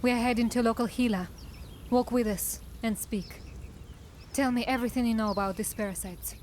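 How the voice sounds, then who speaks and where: A woman plays a young drow assassin in a cinematic fantasy. Her voice sits fairly high, and she speaks in terse, deliberate phrases with a wary edge. There is faint outdoor noise behind her. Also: a little mellow, close to an everyday voice. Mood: guarded, questioning.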